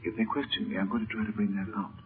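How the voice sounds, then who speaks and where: Steady, protective adventurer hero man acting, lowish voice, deliberate urgency, muffled old radio drama sound. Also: music in the background, lightly acted. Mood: hushed, scheming. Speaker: radio serial actor. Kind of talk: 1930s radio serial acting. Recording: narrow, muffled 1930s radio recording.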